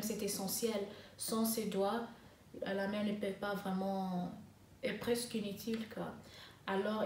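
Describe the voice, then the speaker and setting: A woman in her twenties, from Congo and raised in South Africa, answering interview questions slowly with many pauses and restarts. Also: mid-range voice, a little mellow, distant mic, a little hiss or hum. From Congo (DRC), raised in South Africa. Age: 20s. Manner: hesitant, many fillers and restarts. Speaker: interviewee, master's student. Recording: echoey room with faint ambience.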